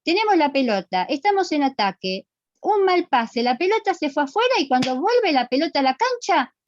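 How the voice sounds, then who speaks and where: A woman's voice: high voice, sing-song, moderate pace, no room sound, muffled recording. A middle-aged woman with a Rioplatense Argentine accent lecturing to students in a didactic, emphatic way, checking they follow with short questions. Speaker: physical education lecturer.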